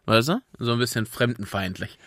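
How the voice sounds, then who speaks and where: A man's voice: medium pitch, a little fast, fairly bright tone, no room sound, clean studio sound. A young man co-hosting a podcast in casual conversation, using colloquial youth slang. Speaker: podcast co-host. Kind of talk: casual chat.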